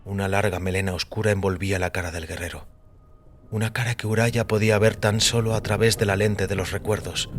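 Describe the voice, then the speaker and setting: A man's voice: on the low side, steady tone, a little fast. A man reading scripted dramatic fiction as the narrator of an audio story. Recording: music under the voice. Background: background music.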